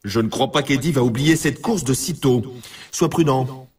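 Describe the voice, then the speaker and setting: A man playing an excitable race announcer in a snowboarding video game, emphatic and talking straight to the player in a familiar, needling way. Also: mid-range voice, moderate pace, husky, recorded from a distance. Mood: teasing warning. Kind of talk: hyped sports commentary for a video game.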